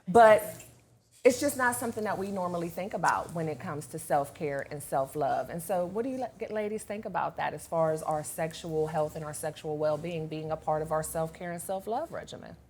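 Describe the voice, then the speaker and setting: A woman's voice: low-pitched, fairly quick, a little mellow, slight background noise. A woman hosting a women's panel discussion in a conversational way, speaking to the group.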